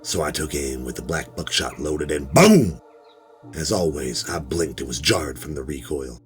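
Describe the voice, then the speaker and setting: Male narrator as middle-aged hobbyist, extremely low voice, animated swings, folksy asides, horror story narration. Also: normal speed. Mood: hushed, uneasy. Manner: folksy, rambling, conversational asides. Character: laid-back middle-aged male metal detector hobbyist recounting a strange find. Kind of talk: first-person horror story narration, conversational. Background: music.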